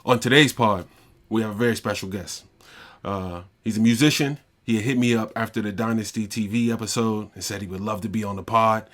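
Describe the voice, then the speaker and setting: A man's voice: fairly low pitch, brisk, a bit bright. A young man from Pittsburgh hosting a casual podcast interview, upbeat and slangy with hype greetings. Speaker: podcast host.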